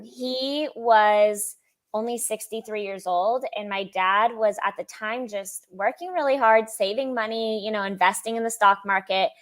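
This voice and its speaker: A young woman with a high-pitched voice talks through her life story as an interview guest. She is chatty and lively, often laughing and playing out what other people said. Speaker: sponsored athlete guest.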